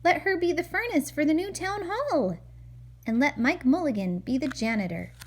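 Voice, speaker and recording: Woman voicing an eager, high-pitched little boy. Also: animated, moderate pace. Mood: eager, suggesting.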